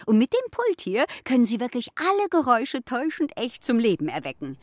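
An actress playing a nervous, overexcited female project leader, halting and self-justifying, in a German children's radio play. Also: on the high side, wildly swooping pitch, background noise. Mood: pleased, eager.

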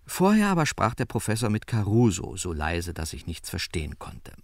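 An actor playing a detective's wry assistant, telling the case conversationally as narrator of a radio crime play. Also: male voice, fairly low pitch, huge pitch swings, normal speed, no echo. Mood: wry, storytelling. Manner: conversational, storytelling.

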